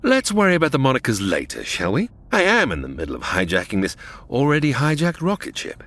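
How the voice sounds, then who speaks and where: An actor playing a scheming male criminal mastermind in a comedy audio drama, with a smooth, theatrical, menacing delivery. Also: mid-range voice, wildly swooping pitch, fast, fairly bright tone.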